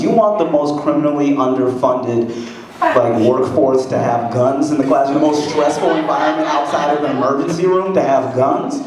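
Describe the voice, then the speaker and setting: A man in his twenties doing a stand-up comedy set in a conversational, self-deprecating way, with a light voice that has little bass. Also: darkish tone. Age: 20s. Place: comedy club stage, echoey room. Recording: echoey club with a laughing crowd. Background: laughing audience.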